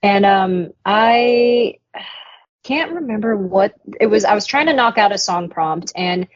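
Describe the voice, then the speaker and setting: A woman's voice: mid-range voice, animated, measured pace, no echo. A woman musician being interviewed, talking frankly and reflectively, swearing casually now and then. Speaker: musician guest. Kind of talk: candid interview talk. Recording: dull, dry podcast audio.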